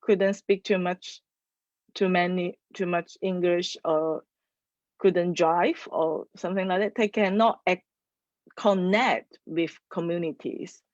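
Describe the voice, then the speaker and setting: A woman with a slightly low voice talks slowly and haltingly, restarting her phrases. The sound is dry and muffled, as over a video call. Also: fairly even intonation, a little mellow, no room sound. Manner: halting, non-native English, many restarts. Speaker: panelist. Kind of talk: online panel discussion.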